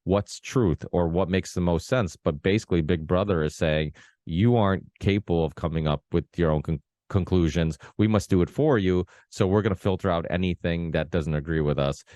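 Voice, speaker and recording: A man with a very low voice hosts a podcast and talks in a loose, rambling way. He fills his speech with ums and restarts. The sound is close and dry. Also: normal speed, mellow, dry recording. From Portugal. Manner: rambling, many fillers and restarts. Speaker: podcast host. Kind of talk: casual conversational chat.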